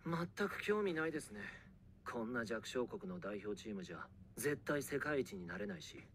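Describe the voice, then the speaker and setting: An actor playing a cool, aloof young soccer prodigy in an anime. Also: male voice, mid-range voice, lively intonation, normal speed, fairly bright tone, slight background noise, close to an everyday voice. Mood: cold disdain. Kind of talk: anime voice acting.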